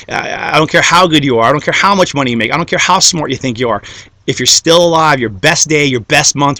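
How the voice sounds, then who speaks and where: Young man, Greek native, motivational speaker, bright and forceful, dry recording. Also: medium pitch, dry recording. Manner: repeats "okay?" and "guys", builds to rising fired-up climax. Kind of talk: motivational talk to followers.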